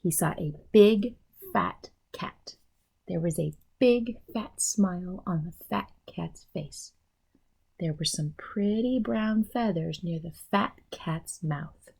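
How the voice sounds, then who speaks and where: A woman teacher reading a children's storybook aloud in an expressive, explanatory way for young listeners. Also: lowish voice, takes it slowly, vocal fry, breathy edge, poor audio.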